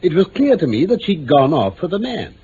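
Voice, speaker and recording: Seeking a courteous, self-assured British detective for old radio drama: swooping intonation, precise explanations, faint hiss. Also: male voice, fairly high pitch, sing-song, a little fast, warm tone. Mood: assured, explanatory. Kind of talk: 1950s-60s BBC radio drama acting. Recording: dull vintage radio recording, faint noise.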